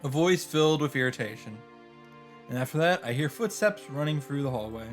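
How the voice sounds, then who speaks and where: A man's voice: medium pitch, expressive, a little fast. A man with a mid-range voice reads a visual novel aloud as a let's player. He moves between story narration and casual, joking asides. Game music plays behind his voice.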